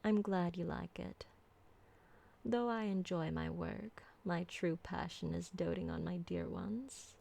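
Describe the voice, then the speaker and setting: Woman as ancient vampire mistress for ASMR roleplay: genteel, doting, unhurried, with a creaky edge. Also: lowish voice, exaggerated voice. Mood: tender, reassuring. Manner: soft-spoken, genteel, tender, formal wording. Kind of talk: intimate ASMR roleplay acting.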